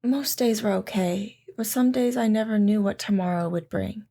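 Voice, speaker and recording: A devoted military wife, played by a woman in an audio drama, reminiscing about her husband. Her voice is warm and mellow at an average pitch for a woman. She tells it gently, with wistful tenderness. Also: moderate pace, close to an everyday voice. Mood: wistful, steadfast.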